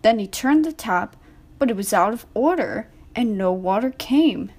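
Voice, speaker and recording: A woman's voice: fairly high pitch, lively intonation, normal speed, darkish tone. A woman reading a scripted children's story as narrator.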